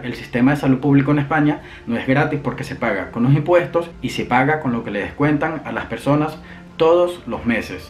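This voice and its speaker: A man's voice: mid-range voice, highs cut off. A young man from Venezuela with a Venezuelan accent, talking earnestly to camera as a vlogger from a room at home, gesturing with his hands. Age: young adult. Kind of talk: casual explainer to camera.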